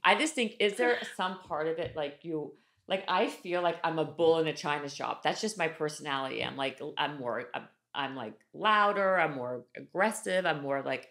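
Woman from canada, forties, podcast host, low voice, animated loose chat, boom mic. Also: normal speed. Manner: animated, talks in loose restarts with lots of "like". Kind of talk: casual interview chat. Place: studio room with wood-panel wall. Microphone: desk microphone on boom arm.

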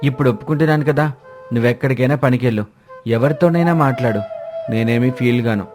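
A man plays a crow husband from a cartoon fable, in a medium-pitched male voice. He is suspicious and stubborn, talking grudgingly to his wife over background music. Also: quick pace, a little mellow, lightly acted. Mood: grudging, conceding. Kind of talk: dramatized moral story cartoon dialogue. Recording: music bed behind voice.

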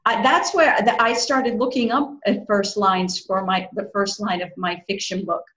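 A woman's voice: medium pitch, fairly even intonation, normal speed, a bit bright. A middle-aged woman casually interviewing a guest over a video call from a small room, with roomy, slightly dull audio. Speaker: interviewer, host. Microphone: video call, at desk distance.

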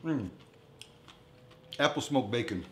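A man's voice: mid-range voice, expressive, slow pace, fairly bright tone. A man in his fifties talks as a chef hosting a cooking show. His delivery is informal and chatty, aimed at home cooks. The kitchen around him is echoey, with faint noise behind the voice. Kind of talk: casual cooking demonstration. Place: commercial-style kitchen, indoors. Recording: echoey room, some background noise, kitchen clatter.

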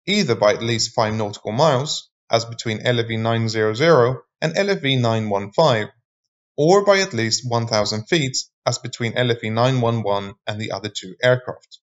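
A man reading scripted explainer narration in a measured, formal manner. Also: mid-range voice, lively intonation, dull audio.

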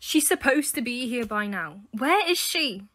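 A woman's voice: fairly high pitch, big pitch swings, unhurried, sharp tone, exaggerated voice. A young woman playing an anxious, insistent university student in a fan audio drama, urgent and questioning. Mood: worried, insistent. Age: young adult.

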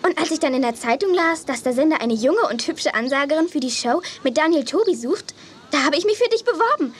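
Casting: chatty, excitable young girl, children's audio play, very high animated voice, faint ambience behind. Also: fairly quick, a little hiss or hum. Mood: persuading. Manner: exclamatory, eager, slangy. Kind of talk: children's audio drama acting.

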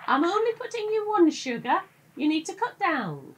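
A British actress playing a chatty middle-aged detective's wife in an audio drama, in natural domestic dialogue. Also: female voice, very high voice, huge pitch swings, normal speed, background noise, full character voice. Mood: teasing.

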